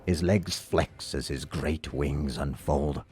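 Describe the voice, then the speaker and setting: A man narrating scripted fiction in a dramatic, solemn and measured way. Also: rumbling low, darkish tone, breathy edge. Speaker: audiobook narrator. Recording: music under the voice. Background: background music.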